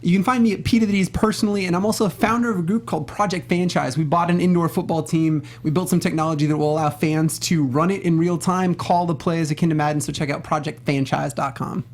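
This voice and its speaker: A young man co-hosts a casual podcast. He talks quickly in a fairly high voice for a man, close on a studio mic. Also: steady tone. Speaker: podcast co-host. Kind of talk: casual panel chat.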